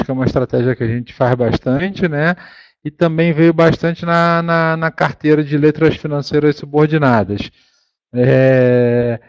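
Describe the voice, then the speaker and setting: A Brazilian man giving an informal business presentation as a fund manager, halting often, repeating words and correcting himself as he explains. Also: medium pitch, a little mellow, dry recording. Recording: dull, lo-fi, dry conference call audio.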